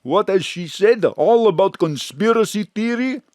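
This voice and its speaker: A man's voice: high-pitched, animated, a little slow, a little mellow, no echo, studio quality. A man playing a sneering Russian thug. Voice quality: sneering, broken English. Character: sinister male Russian agent, sneering.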